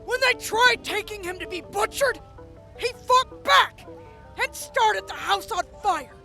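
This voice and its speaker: A female wasteland major played by a woman answers an interview in a radio drama. The voice is extremely high and bright, with slow, measured, matter-of-fact storytelling. Faint music plays underneath. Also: lively intonation, gravelly, full character voice. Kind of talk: audio drama acting, interview.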